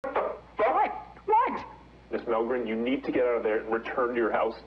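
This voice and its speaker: Alarmed commanding man, male actor: very animated pitch, noisy echoing radio sound, analog horror. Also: moderate pace, lo-fi sound. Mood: alarmed, urgent. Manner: urgent, commanding. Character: alarmed man urgently warning someone over radio. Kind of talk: horror voice acting.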